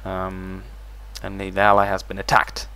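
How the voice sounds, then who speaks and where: A man's voice: sits low, completely flat delivery, unhurried, pushed and bright, smooth, low-quality recording. A man hosting a let's play, talking through his strategy game moves as he thinks them over. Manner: thinks aloud while managing a strategy game. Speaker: gaming channel host.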